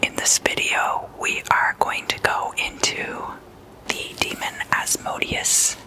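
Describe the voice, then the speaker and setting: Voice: slow pace, low-quality recording. An ASMR host chatting casually and intimately to the listener like a guest at a sleepover, entirely in whispers. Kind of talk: whispered ASMR, casual chatty slumber-party role-play.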